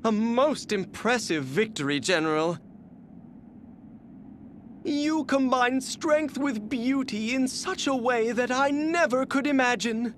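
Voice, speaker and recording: A flamboyant, beauty-obsessed video game warrior, speaking in a strikingly high, effeminate voice. He takes his time over every flowery phrase, refined and theatrical. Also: expressive, takes it slowly, a bit bright, slight background noise, full character voice. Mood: tender, admiring.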